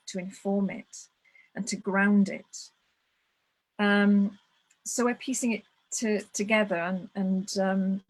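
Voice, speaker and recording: A woman's voice: medium pitch, rough recording. Level-voiced woman, thoughtful. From Wales. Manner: thoughtful, restarts phrases, steady even delivery. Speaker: guest speaker on ritual tradition. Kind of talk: reflective conversation. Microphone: video call.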